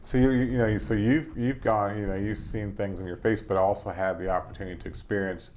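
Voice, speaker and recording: A man hosting an interview program, who asks questions haltingly with many restarts. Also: fairly low pitch, fairly even intonation, darkish tone, slight background noise. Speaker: host.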